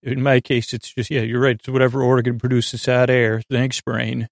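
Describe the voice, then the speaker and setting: A man hosting a bedtime podcast in a meandering, halting way, with improvised digressions and words that trail off unfinished. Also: medium pitch, little pitch movement, vocal fry, no echo, clean studio sound. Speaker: podcast host. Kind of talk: rambling improvised bedtime monologue.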